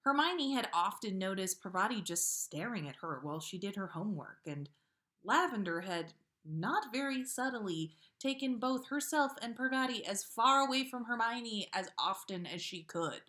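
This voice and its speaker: A woman hosting a story livestream, speaking in an informal, expressive way as she chats and reads fiction aloud. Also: mid-range voice, moderate pace. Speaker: podcast host reading listener stories. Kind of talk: casual livestream chat, then storybook read-aloud.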